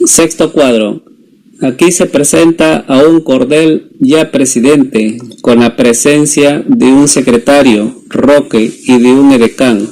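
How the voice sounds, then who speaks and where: A man's voice: mid-range voice, steady tone, takes it slowly, darkish tone, rough recording. A young man, a student presenter, reading a scripted story summary as narrator. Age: young adult.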